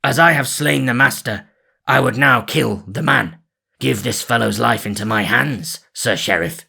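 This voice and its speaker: A man's voice: mid-range voice, measured pace, gravelly, sharp tone, husky, full character voice. A man doing a harsh-voiced disguised outlaw.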